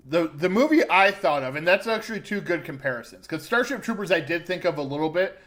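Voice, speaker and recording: Male podcast co-host, fairly high voice, casual and opinionated reviewer. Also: animated, fast talker, fairly bright tone. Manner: conversational, informal, opinionated. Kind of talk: casual film review chat.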